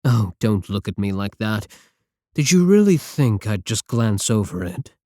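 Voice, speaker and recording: A taunting villain scientist, voiced by a man speaks close to the mic in a low, sarcastic tone. He sounds arrogant and condescending. The recording is dry, with no room sound. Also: big pitch swings, unhurried, mellow, dry recording. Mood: mocking. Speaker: voice actor in audio roleplay. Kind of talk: ASMR roleplay audio.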